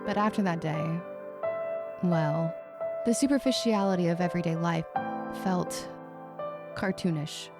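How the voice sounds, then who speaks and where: A woman's voice: fairly low pitch, measured pace, pauses often, loud music behind the voice. A woman reading scripted story narration in the third person. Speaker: audiobook narrator.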